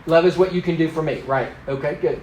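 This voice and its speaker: A man in his fifties who grew up in Thailand, teaching a church class in an animated, joking way and teasing the people in it. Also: fairly high pitch, fast, a little hiss or hum. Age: 50s. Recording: echoey room.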